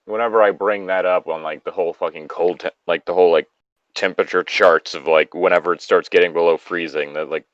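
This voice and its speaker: A man with a very low voice reads and chats casually as a co-host, dropping joking asides. The sound is close and dry, with a slightly dull, lo-fi edge. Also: steady tone, brisk, a bit bright, no echo. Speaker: co-host of a story reading show. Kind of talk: casual reading and banter.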